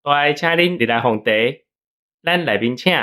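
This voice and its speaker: Man putting on a glib young Taiwanese gangster salesman, pitched up. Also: animated, moderate pace, pushed and bright. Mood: businesslike, polite. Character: slick young gangster loan salesman, eagerly obliging. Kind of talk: radio drama acting.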